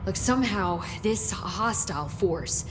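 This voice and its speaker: A woman's voice: medium pitch, animated, music in the background, natural voice. A woman playing an earnest, nervously talkative research assistant in naturalistic video game dialogue. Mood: earnest, flustered. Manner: halting, over-explaining.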